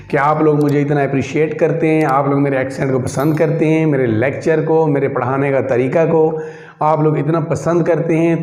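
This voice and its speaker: A man's voice: fairly high pitch, darkish tone. A man with a Pakistani accent giving a methodical, repetitive step-by-step grammar lecture to online students. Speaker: English grammar teacher. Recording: echoey room.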